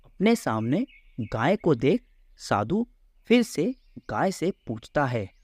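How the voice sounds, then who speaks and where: Male narrator for kids' moral tales: deliberate, unhurried, expressive, very dry studio-like sound. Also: fairly high pitch, husky, dry recording. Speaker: storyteller. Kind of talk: scripted children's story narration.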